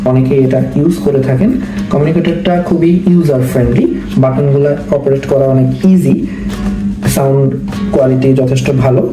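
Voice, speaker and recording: A man with a Bangladeshi accent giving an explanatory review as a vlog host, mixing English phrases into his speech. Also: a little high. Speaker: motovlog host and motorcycle rider. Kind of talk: explanatory product review talk to camera. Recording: echoey room, distant mic, music underneath. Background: background music.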